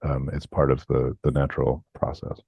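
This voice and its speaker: Man, very deep voice, hesitant, lots of fillers, dry tinny line. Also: little pitch movement, moderate pace, breathy edge, no room sound. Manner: hesitant, many fillers, thoughtful. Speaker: guest doctor. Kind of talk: expert explanation in interview.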